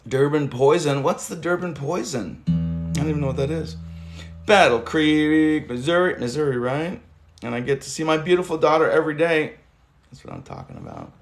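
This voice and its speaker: A hoarse man in his thirties hosting a casual livestream, talking loosely and swearing now and then. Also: on the high side, very animated, normal speed. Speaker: livestream host. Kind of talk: rambling casual livestream chat. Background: guitar music.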